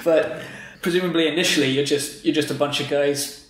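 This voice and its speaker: A man's voice: on the high side, steady tone, fairly quick. A man hosting a podcast interview in a casual, chatty style. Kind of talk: casual chat. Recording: very echoey room.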